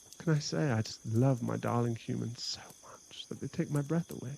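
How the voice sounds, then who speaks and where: Man as a lovestruck werewolf, ASMR roleplay, gravelly husky voice, teasing, boastful, flirtatious. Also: medium pitch, moderate pace, darkish tone. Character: cocky, lovestruck male werewolf, playful and boastful.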